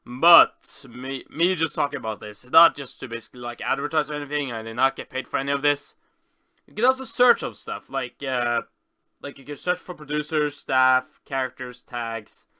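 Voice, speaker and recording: A man with a very bright, forceful voice and a clear, smooth tone talks through a casual screen tour. He rambles, stops short and corrects himself as he goes. The sound is close and dry. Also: medium pitch, lively intonation, no echo. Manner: rambling, halting, self-correcting. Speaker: video host.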